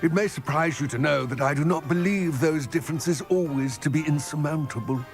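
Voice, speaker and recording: An actor voicing an aging, proud goblin in a video game, speaking in a formal, measured, eloquent way. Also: male voice, fairly high pitch, soft background music, natural voice. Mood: solemn, measured. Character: aging male goblin, solemn, proud, formal former fighter. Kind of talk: video game cutscene acting.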